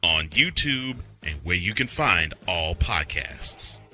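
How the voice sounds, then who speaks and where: A man's voice: sits low, very animated, takes it slowly. A man reading a scripted podcast promo as an announcer over music.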